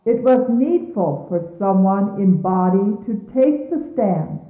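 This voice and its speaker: A woman reading religious messages aloud in a solemn, deliberate, reverent and formal manner. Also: mid-range voice, expressive. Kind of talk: solemn scripted reading of sermons. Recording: muffled, echoey 1970s phone-like tape.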